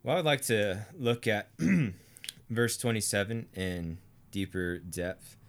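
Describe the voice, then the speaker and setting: A deep-voiced American man explains theology in a conversational teaching style. His delivery is deliberate and emphatic, with weighty pauses between phrases. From Arkansas, United States. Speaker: teacher and co-host of a theology series.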